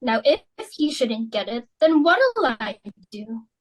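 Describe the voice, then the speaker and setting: A young woman reading the part of an excitable, fashionable society matron hosting a dinner party, fussy and emphatic. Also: a little high. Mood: fussing. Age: young adult. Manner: fussy, emphatic, quick to exclaim. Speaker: reader for Mrs. Pringle. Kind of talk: student dramatic reading.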